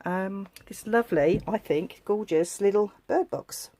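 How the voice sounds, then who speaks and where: A woman's voice: mid-range voice, unhurried, mellow, no echo. A woman with a slight head cold, giving a chatty, rambling step-by-step craft demonstration and often correcting herself. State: has a head cold coming on. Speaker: craft tutorial host.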